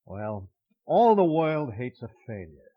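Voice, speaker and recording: Muffled vintage-radio New wiseguy. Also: male voice, mid-range voice, sing-song, slow. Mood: wry, offhand. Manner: colloquial, mock-formal phrasing. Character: chatty ex-convict informant, dry New York underworld wiseguy. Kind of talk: old-time radio crime drama acting.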